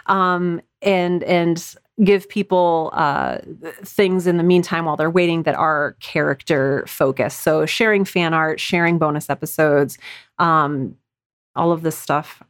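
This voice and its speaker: A woman's voice: low voice, steady tone, a little slow, clear, resonant tone, no echo, studio quality. A woman being interviewed as a writer, explaining her craft conversationally and acting out little example quotes. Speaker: audio drama writer and podcast guest.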